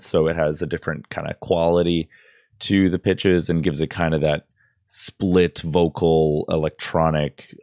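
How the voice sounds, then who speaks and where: Man, thirties, very low voice, informal tutorial talk, halting and rambling, dry close-miked sound. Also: a little mellow, no room sound. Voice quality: relatively low voice. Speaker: tutorial presenter. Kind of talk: casual screen-recorded tutorial. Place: indoor desk setup. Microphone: close boom-arm studio mic, headphones.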